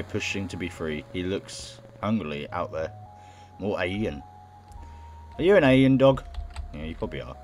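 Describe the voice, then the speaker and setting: A young man reading game text aloud and reacting casually, as in a let's play. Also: sits low. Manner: stumbles over words while reading. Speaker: gaming video host. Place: small room at home, indoors. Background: game music.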